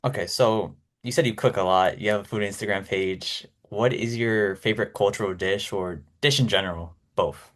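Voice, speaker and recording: A man hosting a podcast, asking relaxed, encouraging interview questions. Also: on the low side, normal speed, hardly any pauses. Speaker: podcast host.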